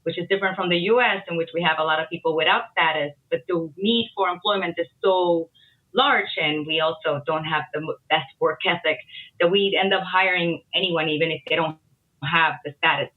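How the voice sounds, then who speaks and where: A woman immigration attorney, a podcast guest, explaining immigration systems in a measured, opinionated way. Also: deep voice. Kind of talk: casual podcast interview. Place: Miami. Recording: tinny phone-like line.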